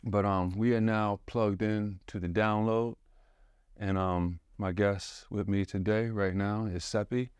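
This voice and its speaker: A man hosting a podcast in an excitable, rambling way, full of restarts and fillers. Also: fairly low pitch, unhurried, clear, resonant tone, dry recording, studio quality. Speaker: podcast host. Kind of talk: casual chat.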